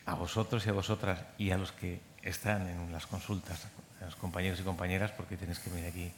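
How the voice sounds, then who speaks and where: A man's voice: sits low, little pitch movement, quick pace, a little hiss or hum. A man coordinating a medical training program gives a rambling conference talk, full of restarts and repeated words. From Madrid. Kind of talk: conference speech. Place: conference hall. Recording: long hall reverb.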